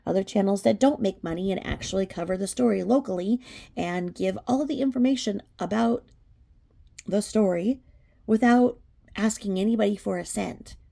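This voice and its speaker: A woman with noticeable vocal fry and a slightly low voice delivers off-the-cuff commentary. She sounds disapproving and emphatic, leaning on words as she airs her scornful opinions. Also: normal speed, warm tone. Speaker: true crime commentary channel host.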